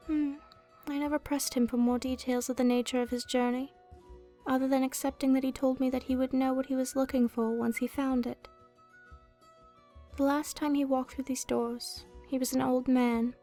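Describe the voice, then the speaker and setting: A woman voicing an ancient, gentle female dragon in a soft ASMR roleplay, speaking wistfully and formally, as if telling a storybook tale. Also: high voice, close to an everyday voice.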